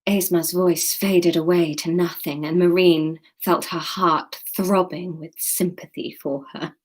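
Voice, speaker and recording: A woman's voice: fairly low pitch, fairly even intonation, unhurried. A woman from the UK chatting casually on a livestream, rambling and joking about herself, laughing often, then reading her own story aloud.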